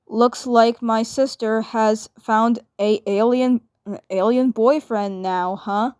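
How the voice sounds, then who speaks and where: A woman's voice: a little high, steady tone, slow pace, darkish tone, smooth. A woman voicing a teasing, skeptical teenage cartoon boy with a sarcastic drawl.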